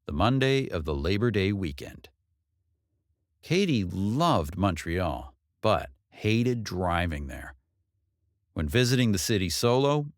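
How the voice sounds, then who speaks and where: A man narrates a work of fiction in a low-pitched voice. His measured reading is expressive and moves freely up and down in pitch. The sound is dry, with very little room on it. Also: no room sound. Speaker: audiobook narrator. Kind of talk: scripted narration of a novel.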